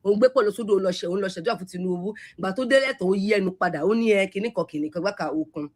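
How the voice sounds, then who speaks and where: A woman's voice: medium pitch, racing, darkish tone. A Yoruba-speaking woman with a Yoruba accent, scolding at length in an angry online rant and switching between Yoruba and English. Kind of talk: angry social media rant.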